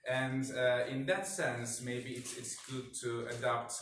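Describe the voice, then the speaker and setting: A man with a non-native English accent giving a conference presentation as a researcher, in a halting manner. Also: mid-range voice, flat delivery, fairly bright tone. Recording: echoey room, distant mic, noisy.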